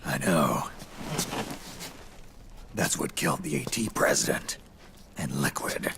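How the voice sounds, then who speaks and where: Weary old game soldier: extremely low, gravelly growl, slow and terse, over noisy ambience. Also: male voice, lively intonation, full character voice. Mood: gruff, questioning. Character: gruff, weary, rapidly aging soldier facing his own death. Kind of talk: video game cutscene acting.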